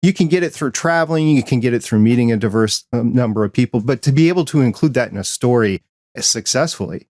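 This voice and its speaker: Midwestern man, podcast host; earnest, steady delivery, dry close recording. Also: mid-range voice, quick pace, dry recording. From American Midwest. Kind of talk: casual interview chat.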